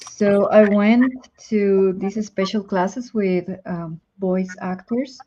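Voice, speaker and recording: Woman, Spanish accent, chatty teacher guest, thinks aloud, mid pitch, a bit lo-fi. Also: takes it slowly, mellow, slight background noise. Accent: Spanish-speaking. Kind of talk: casual chat on a live stream.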